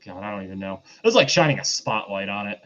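A man's voice: lowish voice, lively intonation, quick pace, a bit bright. A man co-hosting a casual recap and commentary in a sardonic way, on slightly dull lo-fi audio. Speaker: podcast co-host recapping films.

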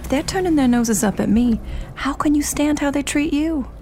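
Creaky-voiced, brassy socialite confidante. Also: female voice, high-pitched, normal speed, dark tone, slight background noise. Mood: tipsy, defensive. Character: breezy, brassy socialite confidante, grateful and frank. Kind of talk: period radio drama acting.

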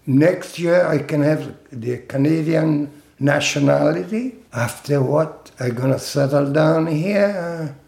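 An older man from Italy, slowly recounting his life in a halting oral-history interview. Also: on the high side, gravelly, a little mellow, echoey room. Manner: slow, halting storytelling with fillers and laughs.

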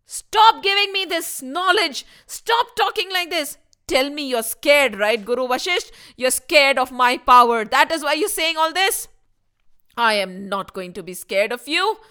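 A woman's voice: high-pitched, lively intonation, measured pace, sharp tone, full character voice. A woman putting on a gloating, vengeful sage-king. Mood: defiant. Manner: mocking, gloating, with cackling laughs. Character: boastful vengeful powerful sage-king, adult man.

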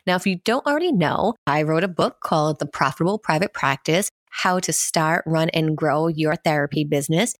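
A woman's voice: low voice, expressive, a little fast, hardly any pauses, no room sound, clean studio sound. A woman hosting her own podcast, upbeat and chatty, promoting her work and interviewing a guest. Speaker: podcast host. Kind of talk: casual interview and self-promotion.

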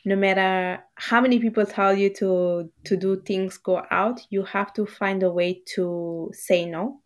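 A woman's voice: medium pitch, fairly even intonation, a little slow. A woman whose first language is Bengali, a guest in a casual interview, speaking thoughtfully with fillers.

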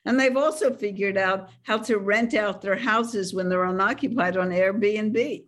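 A woman's voice: medium pitch, unhurried, a bit bright. Confident woman giving dating advice. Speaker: author and dating expert guest. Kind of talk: podcast interview answers.

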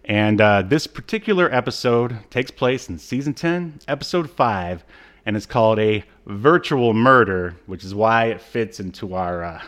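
A man's voice: lowish voice, expressive, measured pace, a bit bright. An American man co-hosting a casual comedic chat, given to jokey, mock-dramatic asides. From United States. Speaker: podcast co-host.

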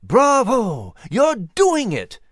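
Guy doing a posh-mannered little mouse, high and swoopy, jolly, florid, storybook. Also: big pitch swings, takes it slowly, no echo, studio quality, heavily acted. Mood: cheering. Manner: pompous, florid, hearty.

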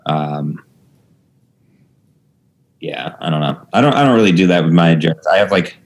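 Low-voiced hesitant comedian man. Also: expressive, normal speed. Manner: hesitant, filler-heavy. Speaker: stand-up comedian. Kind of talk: casual podcast chat.

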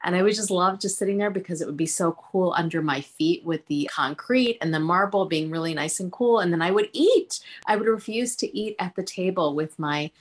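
A woman's voice: on the low side, fairly quick, few pauses. Chatty woman cookbook author guest. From India, raised in Pennsylvania. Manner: chatty and animated, with fillers and laughs. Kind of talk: storytelling interview answers.

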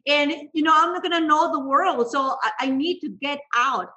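A woman's voice: high-pitched, moderate pace, bright, forceful tone. Mexican-accented woman telling her story. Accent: Mexican Spanish. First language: Spanish. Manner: candid, laughs often, frequent restarts in second-language English. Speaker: guest.

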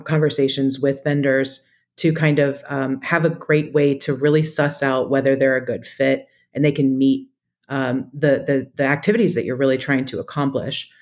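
A woman speaking as an interview guest, giving conversational, practical advice in a methodical, explanatory way. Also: rumbling low. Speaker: podcast guest, data practitioner.

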